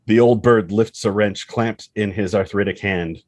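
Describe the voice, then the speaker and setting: A man's voice: lowish voice, steady tone, normal speed, darkish tone, no echo, studio quality. A man reading scripted screenplay action and scene headings as the narrator.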